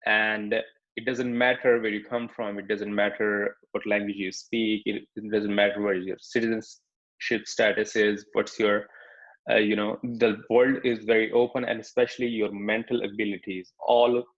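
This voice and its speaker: A man's voice: on the low side, flat delivery, normal speed, lo-fi sound. A young man from Pakistan with a Pakistani accent, telling his personal story in a talk with slides, hesitant and full of fillers and restarts. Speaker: speaker giving a talk.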